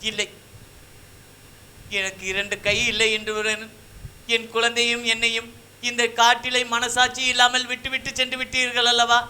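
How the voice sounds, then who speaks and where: A man's voice: very high-pitched, level tone, moderate pace, pauses often, pushed and bright, exaggerated voice. A man with a Tamil Nadu accent voicing a wronged, devout young wife and mother in formal folk puppet-theatre speech. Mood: hurt, reproachful. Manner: plaintive, declamatory. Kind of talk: folk shadow puppet drama, formal stage diction.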